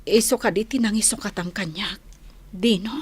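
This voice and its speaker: An actress with an Ilocano accent playing a remorseful young mother who slowly pleads for forgiveness in a radio drama. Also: medium pitch, a little hiss or hum, natural voice. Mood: pleading. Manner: pleading, hesitant, slow. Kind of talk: 1990s-style AM radio drama acting.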